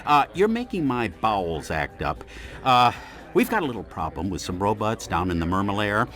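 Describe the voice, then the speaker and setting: A man's voice: lowish voice, very animated, normal speed, a bit bright. A man playing a weary, cranky old retired superhero sidekick in a cartoon game, complaining as he talks. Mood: weary, reluctant. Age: older.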